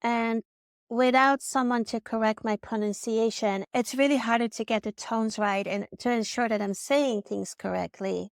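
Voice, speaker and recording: Dutch-accented woman, smooth dry voice. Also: a little high, fairly even intonation, normal speed, dry recording. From Netherlands. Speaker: vlogger. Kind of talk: scripted personal vlog narration.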